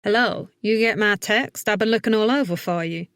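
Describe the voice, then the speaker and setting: A woman voicing a gruff, cocky, easygoing man with a Southern US drawl in an audiobook. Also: mid-range voice, moderate pace, fairly bright tone, dry recording, studio quality, close to an everyday voice. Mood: cocky, casual. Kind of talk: audiobook character acting.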